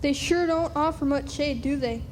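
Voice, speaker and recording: A female performer plays a restless young orphan boy in a radio drama. The voice is very high, blunt and folksy, always wanting to know more. The recording has clear background noise. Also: normal speed, darkish tone, close to an everyday voice. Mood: restless, inquisitive. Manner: childlike, blunt, folksy speech. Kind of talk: radio play acting.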